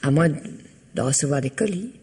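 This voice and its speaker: A husky, evasive, mysterious hostess. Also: female voice, sits low, animated, normal speed, mellow, full character voice. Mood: guarded. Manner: cool, polite and guarded. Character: poised, evasive wealthy woman, a mysterious hostess. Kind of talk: vintage radio drama acting. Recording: old radio recording, long reverb.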